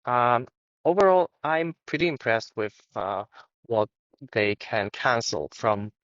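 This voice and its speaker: A man's dull, lo-fi voice comes through earbud microphones with heavy noise processing and a very dry sound. He speaks off the cuff, casual and hesitant, at a medium pitch. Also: expressive, slow pace, fairly bright tone, no echo. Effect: heavy noise-cancelling processing. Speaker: tech reviewer. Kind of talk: unscripted microphone test. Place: outdoors by a busy street.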